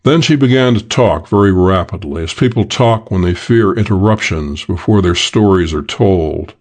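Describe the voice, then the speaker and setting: Male narrator, low register, for first-person hard-boiled detective fiction read from a script. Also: normal speed, a little mellow. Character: hard-boiled middle-aged male detective, gruff and unsentimental. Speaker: audiobook narrator.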